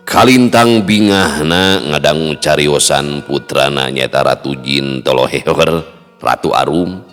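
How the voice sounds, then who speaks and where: Male storyteller, Sundanese accent, very deep, unhurried, hosting and narrating, long reverb, background music. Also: fairly bright tone. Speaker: storyteller and host. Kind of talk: folk tale narration and casual host chat.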